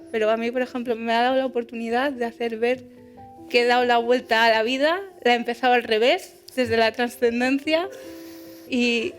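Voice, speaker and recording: Earnest woman, fairly high voice. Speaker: panel guest. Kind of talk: personal testimony at a live event. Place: event hall before an audience.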